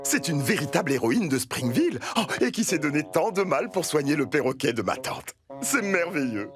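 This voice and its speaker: A man voicing an excitable, effusive portrait artist in a children's cartoon, theatrical, with music behind. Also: a little high, moderate pace. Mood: gushing.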